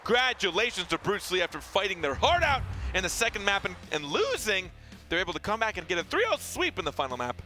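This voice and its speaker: A man casting an esports match, excitable and jokey, quick to laugh at himself. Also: faint music behind. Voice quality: deep voice. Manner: excitable, jokey, self-mocking. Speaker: esports caster. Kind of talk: play-by-play esports commentary.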